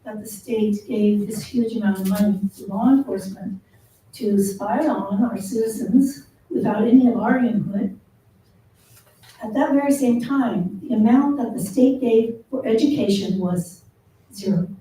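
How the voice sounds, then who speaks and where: A woman with a small, soft voice giving public comment at a council meeting, earnest and measured with frequent ums. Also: medium pitch. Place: city council chamber. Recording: echoey council chamber, distant mic.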